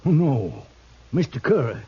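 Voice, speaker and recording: A man's voice: medium pitch, wildly swooping pitch, takes it slowly, breathy edge, heavily acted. An actor playing a frightened, stammering old drunkard father with a folksy way of talking, in a 1950s radio western. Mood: frightened, pleading. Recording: muffled old radio recording.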